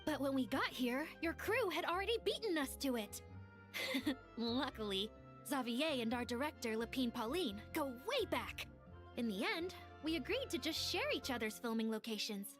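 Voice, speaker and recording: A woman's voice: sits high, very animated, normal speed, a bit bright. A woman voicing a perky, eager young reporter in an anime game, with an enthusiastic, theatrical delivery. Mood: cheerful. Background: music.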